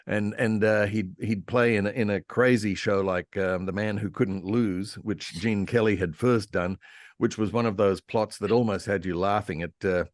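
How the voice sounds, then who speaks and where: Deep voiced guy, radio historian chatting on a panel, stop-start but keen, very dry sound. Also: steady tone, moderate pace, dry recording. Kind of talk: animated, anecdotal panel discussion. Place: online convention video call.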